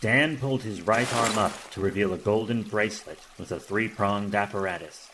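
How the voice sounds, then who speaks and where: A man's voice: on the low side, moderate pace, background noise. A man narrating an action audio drama in a dramatic, scripted storytelling style.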